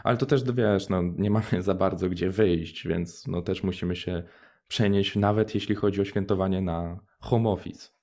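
A man's voice: low voice, steady tone, moderate pace, mellow. A young man co-hosting a radio show, chatting in an informal, conversational way. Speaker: radio co-host. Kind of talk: casual radio chat.

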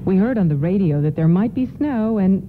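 A woman's voice: medium pitch, expressive, a little fast, lightly acted. A woman playing a polite, anxious mother of two in a radio play. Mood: worried. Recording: muffled phone-like old radio broadcast, faint noise.

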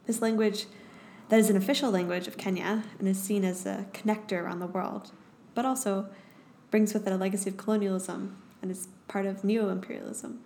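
A young woman with an American accent, hosting a podcast in measured, thoughtful scripted narration. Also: medium pitch, steady tone, creaky, warm tone, slight background noise. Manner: measured, thoughtful, reads in short phrases. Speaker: podcast host and English teaching assistant.

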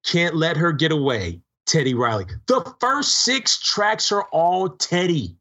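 A man co-hosting a casual music podcast, telling stories with emphatic, drawn-out points. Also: fairly high pitch, big pitch swings, bright, forceful tone. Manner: emphatic, drawn-out listing, storytelling. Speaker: podcast co-host. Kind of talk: casual chat.

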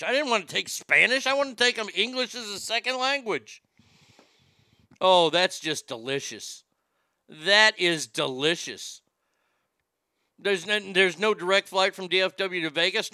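A man hosting a casual talk show, ranting in an animated, profane way. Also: high-pitched, clear, resonant tone, no room sound. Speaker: host.

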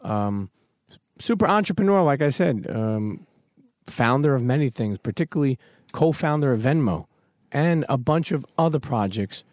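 A man's voice: mid-range voice, animated, normal speed, a little mellow, no room sound. A man hosting a podcast, giving an emphatic motivational talk full of casual swearing before welcoming his guest. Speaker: podcast host.

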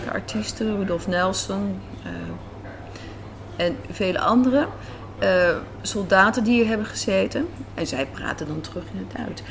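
A woman's voice: medium pitch, unhurried, creaky. A woman giving thoughtful, conversational interview answers, searching for words as she goes. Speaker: interview guest, writer and director. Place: on location at an Amsterdam café and square. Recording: noisy on-location recording with city ambience.

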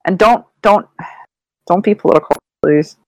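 A woman hosting a casual interview, excitable and exclamatory, with a habit of swearing freely. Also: on the low side, normal speed, dry recording, rough recording. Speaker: podcast host.

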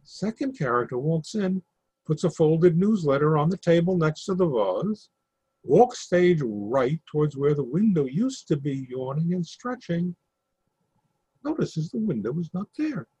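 A man reading a play's stage directions aloud in a measured, even way. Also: a little high, breathy edge, no echo, poor audio.

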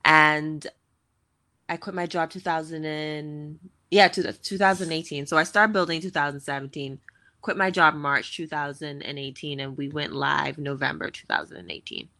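Low-voiced, bright, upbeat woman. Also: steady tone, normal speed, no echo. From Bahamas. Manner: chatty, upbeat, with frequent "um" and "like". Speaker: guest, fashion tech founder. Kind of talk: casual interview conversation.

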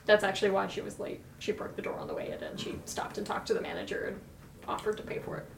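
A woman's voice: medium pitch, huge pitch swings, fast, vocal fry. A young woman playing in a tabletop game, playful and chatty. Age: young adult. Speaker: player. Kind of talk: casual tabletop game chat. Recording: roomy, distant, noisy.

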